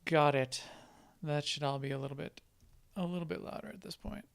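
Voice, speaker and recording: Man streaming a game, very gravelly voice, fairly high pitch, chatty with viewers. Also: fairly quick. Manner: chatty, answers viewers while playing. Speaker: live streamer playing an online game. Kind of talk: casual live-stream chat.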